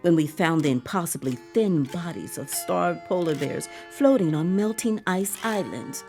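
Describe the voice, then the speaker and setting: A woman acting the uneasy, eerily giddy narrator of a dystopian tale in measured, dramatic, sometimes breathy narration. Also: on the low side, expressive, a little mellow, soft background music. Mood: uneasy, solemn. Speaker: actor narrating a dystopian story.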